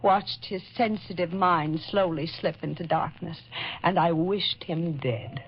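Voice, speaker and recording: Actress playing a haunted wife in dull-sounding vintage radio melodrama. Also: female voice, on the low side, measured pace, a little hiss or hum, close to an everyday voice. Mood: dark, brooding. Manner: dramatic, brooding narration and anguished pleading. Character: haunted, guilt-ridden wife Janet narrating her nightmare. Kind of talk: old-time radio melodrama acting.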